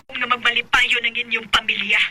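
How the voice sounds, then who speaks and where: A woman's voice: a little high, flat delivery, brisk, close to an everyday voice. A woman with a Cebuano Filipino accent playing a bitter, accusing mother in a radio drama. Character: bitter mother cursing her runaway daughter. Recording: voice under loud music.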